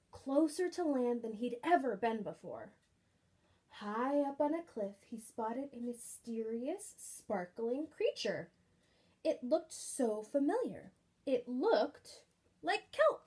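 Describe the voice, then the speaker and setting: High-pitched, animated woman reading a picture book. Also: husky. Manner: animated, engaging with questions. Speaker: children's storyteller, teacher reading aloud. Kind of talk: expressive picture-book read-aloud with asides to young listeners.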